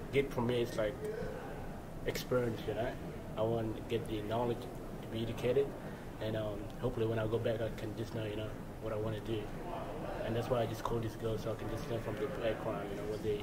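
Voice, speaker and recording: A relaxed young man from Kenya, chatting as a student in a group discussion. His talk is loose and peppered with "you know", and clear noise runs behind him. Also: lowish voice, fast. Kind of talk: casual discussion.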